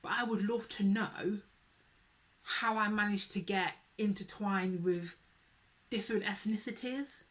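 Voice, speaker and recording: A young woman chats casually to her viewers, her voice sitting at an ordinary mid pitch. She is earnest and animated, circling back over the same phrases to press her point home. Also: measured pace. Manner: earnest and expressive, repeats herself for emphasis. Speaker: vlogger. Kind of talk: casual vlog talk to camera.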